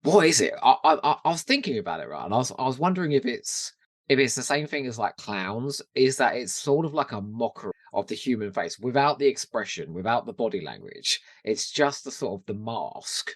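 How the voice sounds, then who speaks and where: A man's voice: medium pitch, big pitch swings, brisk, no echo. A man chatting casually and animatedly as a podcast co-host about a TV show, swearing now and then.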